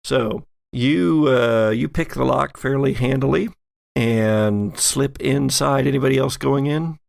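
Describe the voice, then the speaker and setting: Guy game master, gravelly fry in his voice, measured and chatty, really dry close sound. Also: medium pitch, no room sound. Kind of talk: narrating a tabletop role-playing game. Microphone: close studio microphone.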